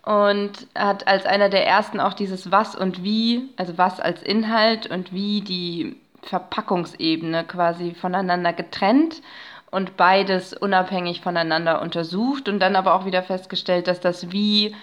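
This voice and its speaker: A woman's voice: fairly low pitch, steady tone, moderate pace. A woman in her thirties speaking as an interview guest, explaining her research field conversationally in loose, winding sentences. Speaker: podcast guest, narratologist and researcher.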